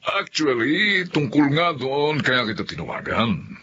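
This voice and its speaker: An actor playing a blunt, smug, wealthy businessman in a Filipino radio drama, husky and patronizing. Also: medium pitch, sing-song, measured pace, sharp tone, a little hiss or hum, full character voice. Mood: hesitant, businesslike. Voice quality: husky, rough. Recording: muffled radio drama recording.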